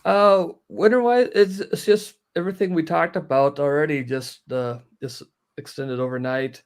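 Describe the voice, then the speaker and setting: A man, explaining things in a practical, low-key way as a guest in a casual interview. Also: fairly even intonation, normal speed, smooth. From northern Wisconsin, United States. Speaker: guest, outdoor amateur radio operator. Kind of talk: casual live stream interview.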